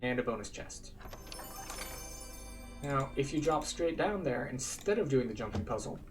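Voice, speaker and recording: A man's voice: medium pitch, lively intonation, normal speed, over music. A man giving casual, explanatory commentary on a game walkthrough. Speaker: game streamer.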